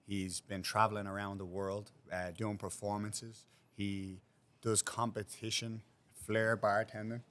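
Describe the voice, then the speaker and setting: A man's voice: lowish voice, flat delivery, unhurried, a bit bright. A man hosting a podcast, introducing his guest and chiming in with short encouraging remarks. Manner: brief, encouraging interjections. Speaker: podcast host. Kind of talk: casual podcast chat.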